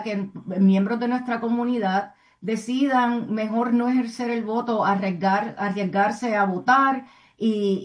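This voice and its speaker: A woman speaking as a community advocate in an interview, earnest and somewhat halting, often adding tag questions. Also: mid-range voice, a little mellow. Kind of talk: interview answers, civic advocacy.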